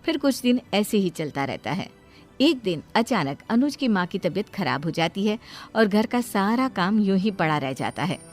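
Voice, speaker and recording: A woman's voice: medium pitch, very animated, moderate pace. A woman storyteller reading scripted narration for a cartoon bedtime story.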